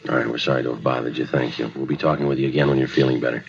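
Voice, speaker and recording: A dull 1950s radio recording of an American police detective. His voice is extremely low and he talks quickly, deadpan and clipped, in matter-of-fact radio drama fashion. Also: gravelly. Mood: businesslike, patient. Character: terse, matter-of-fact male police detective narrating a case.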